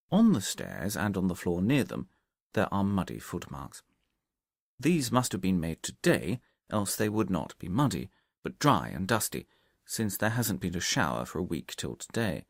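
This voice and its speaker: A man acts out a methodical, commanding detective from a Victorian mystery audiobook. His low-pitched voice moves in lively swings as he reasons aloud and gives deliberate instructions. The sound is dry and close. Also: gravelly, no room sound, exaggerated voice. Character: confident, brisk, methodical male detective giving instructions. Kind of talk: audiobook character dialogue from a Victorian detective story.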